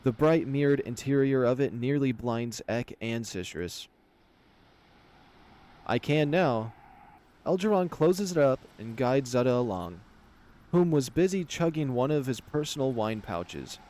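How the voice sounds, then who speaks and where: A man's voice: medium pitch, expressive, normal speed, dark tone. A man narrating a visual novel aloud as a let's player, switching between story reading and casual commentary. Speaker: video game let's player.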